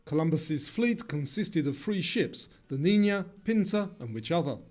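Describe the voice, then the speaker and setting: A man reading scripted quiz questions and answers in a measured way, as the narrator of a trivia video. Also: a little high, lively intonation, darkish tone, soft background music.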